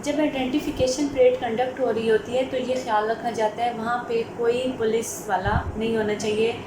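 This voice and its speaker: High-voiced Pakistani woman lecturing in echoey room. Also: fairly even intonation, normal speed. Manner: explanatory, mixes in English legal terms. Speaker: advocate teaching law. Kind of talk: informal legal lecture. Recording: echoey room, clear background noise.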